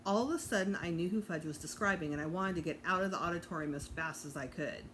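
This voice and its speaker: A woman, a teacher, reading a children's book aloud to students in an animated storytelling style. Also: on the low side, fast, low-quality recording. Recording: clear background noise.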